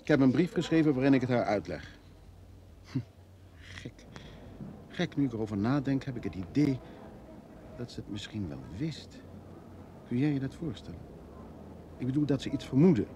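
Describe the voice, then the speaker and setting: An actor playing a hesitant, guilt-ridden husband in an old Dutch radio play. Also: male voice, medium pitch, animated, moderate pace, long pauses, warm tone, a little hiss or hum, lightly acted. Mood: troubled. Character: conflicted, guilt-ridden husband leaving his wife. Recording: old radio recording, crowd behind.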